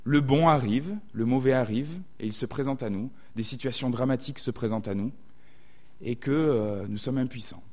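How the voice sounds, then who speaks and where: A man's voice: medium pitch, moderate pace, a little mellow. A man with a southwestern French accent preaching a sermon, thoughtful and often hesitating to reword his thoughts.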